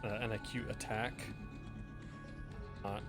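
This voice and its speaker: A man's voice: lowish voice, flat delivery, takes it slowly, over loud music. A man working as game master, casually narrating a tabletop horror game and calling for rolls.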